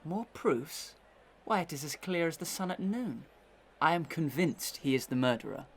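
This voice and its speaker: An actor playing a desperate, wronged gentleman accuser in a Victorian melodrama, earnest, impassioned and declamatory. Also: fairly high pitch, lively intonation, normal speed, slightly rough, full character voice. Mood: indignant. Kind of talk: Victorian melodrama audio play acting.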